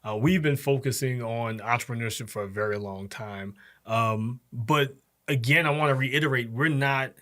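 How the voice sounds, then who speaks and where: A man in his forties, a guest in a casual interview, explaining things step by step and pausing often on um and uh. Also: mid-range voice, fairly bright tone. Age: 40s. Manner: explains step by step, halting with frequent um and uh. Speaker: program director, guest.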